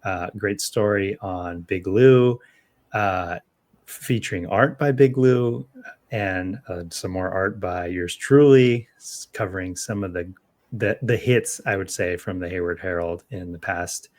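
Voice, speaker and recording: Low-voiced man, casual podcast co-host. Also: unhurried, a little mellow. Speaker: local news podcast co-host. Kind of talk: casual chat.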